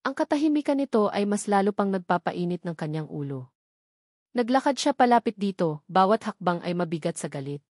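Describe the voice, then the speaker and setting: A woman's voice: medium pitch, sing-song, a little fast, darkish tone, no echo, clean studio sound. A woman narrating a scripted romantic drama as an audiobook, with dramatic, emphatic phrasing.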